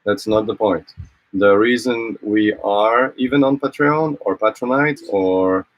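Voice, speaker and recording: A man whose first language is Polish, co-hosting a casual livestream from a boat, talking in halting phrases full of fillers and restarts. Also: lowish voice, no echo, highs cut off. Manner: hesitant, many fillers and restarts. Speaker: co-host of a sailing channel livestream.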